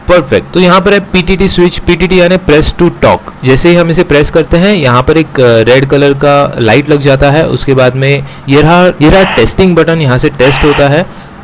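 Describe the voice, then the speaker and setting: A man's voice: on the high side, slight background noise, low-quality recording. A man with an Indian accent presenting an unboxing and review to viewers, explaining step by step and slipping into English phrases. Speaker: host of a gadget review channel. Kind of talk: unboxing and product review narration, addressing viewers.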